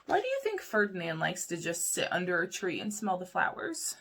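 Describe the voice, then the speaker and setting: A woman's voice: lowish voice, wildly swooping pitch, fairly quick, creaky, fairly bright tone, slight background noise. A woman reading a children's story aloud like a preschool teacher, expressively, with questions aimed at young listeners.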